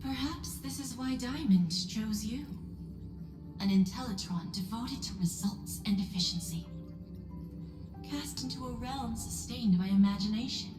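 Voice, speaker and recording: A woman playing a poised, enigmatic female executive in a video game, elegant, deliberate and knowingly probing. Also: medium pitch, animated, lightly acted. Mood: amused, elegant. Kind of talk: scripted video game voice acting. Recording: echoey room, music behind.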